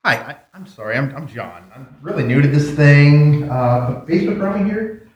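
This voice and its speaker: A man's voice: fairly high pitch, animated, normal speed, roomy sound, muffled recording, natural voice. A man playing a shy, polite newcomer in a live radio-style comedy sketch, speaking hesitantly. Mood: tentative. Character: shy, hesitant male newcomer seeking a deal.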